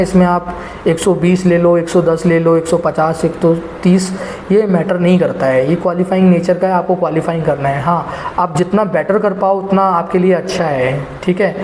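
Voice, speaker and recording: High-voiced man giving exam tips. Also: darkish tone, slight background noise. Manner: rambling, heavy filler phrases, addresses viewers as guys. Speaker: exam prep teacher. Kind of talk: casual spoken exam advice. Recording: echoey room with faint ambience.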